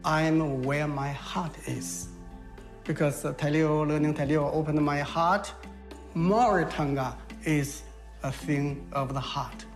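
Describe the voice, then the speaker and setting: A man in his sixties from China with a Mandarin Chinese accent, answering interview questions in a halting, reflective way with many pauses. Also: on the high side, fairly even intonation, faint music behind. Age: 60s.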